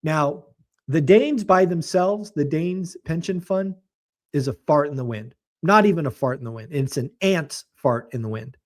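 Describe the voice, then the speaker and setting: A man hosting a real estate show, giving blunt, emphatic market commentary in a slightly hoarse voice. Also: a little high, a little mellow. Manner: emphatic, blunt and colorful, mocking. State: slightly hoarse, losing his voice.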